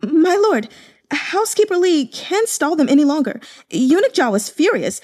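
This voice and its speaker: A woman voicing a panicked male household servant in an audiobook story, stammering and shouting frantically. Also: high voice, big pitch swings, moderate pace, pitched above the performer's own voice.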